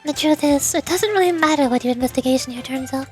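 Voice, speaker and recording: A woman acts out a young female student president in a video game story. Her voice is high, with a composed, aloof and calculating air. She speaks formally and with measured authority over faint music. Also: natural voice. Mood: cool, confiding.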